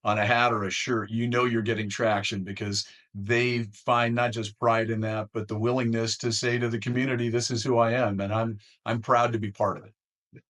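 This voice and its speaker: A man hosting an interview, setting up his questions with measured lead-ins and deliberate, formal phrasing. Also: medium pitch, level tone, fairly bright tone. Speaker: interview host.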